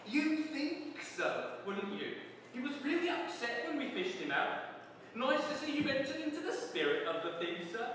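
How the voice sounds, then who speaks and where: Man acting out a chirpy prison warder, high-pitched, politely mocking, deferential sing-song, comic storybook reading, distant in a reverberant hall. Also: sing-song, moderate pace, hardly any pauses, fairly bright tone, full character voice. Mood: cheerfully chatty. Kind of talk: dramatic reading of a comic novel. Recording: very echoey hall, distant mic, noisy.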